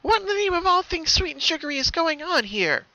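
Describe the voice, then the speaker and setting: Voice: extremely high pitch, sing-song, a little fast, pushed and bright, no room sound, dull audio, voice pushed up, heavily acted. A performer voicing a giddy, bouncy young female cartoon pony with a sinister streak, gushing in singsong cheer. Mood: gleeful menace.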